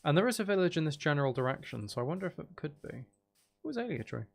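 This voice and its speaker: A man's voice: on the high side, big pitch swings, fast, gravelly. A man doing casual live gameplay commentary, rambling and thinking aloud as he chats with viewers. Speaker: video game livestreamer.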